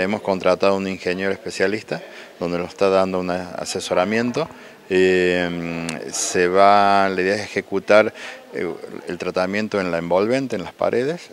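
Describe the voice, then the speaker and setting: A man's voice: low voice, fairly even intonation. A man in his forties with an Argentine accent, interviewed indoors on a handheld mic, explaining plans with many hesitant fillers. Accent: Argentine Spanish. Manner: halting, many "eh" fillers and restarts. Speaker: municipal official interviewed. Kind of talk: local TV interview. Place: indoor event hall. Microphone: handheld reporter mic.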